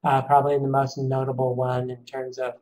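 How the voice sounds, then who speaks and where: A man with a raspy, strained voice presenting an education webinar over a call, pausing often with ums. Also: medium pitch, monotone, darkish tone, low-quality recording. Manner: hesitant, many ums, measured.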